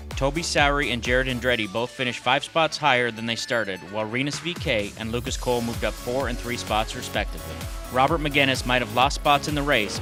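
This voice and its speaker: A man reads a scripted motorsport recap as narrator. His voice sits at an average male pitch, with music playing clearly behind him throughout.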